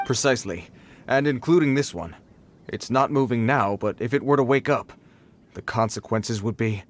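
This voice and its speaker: An actor playing an earnest, well-meaning but awkward guard on patrol, formal and hesitant, as a video game character. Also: male voice, medium pitch, moderate pace, a little hiss or hum, natural voice. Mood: cautioning.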